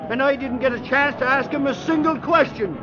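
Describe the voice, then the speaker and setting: High male voice, grumbling excitable newsman, radio drama, dull vintage recording, crowd behind. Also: fairly quick. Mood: flustered. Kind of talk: old-time radio acting.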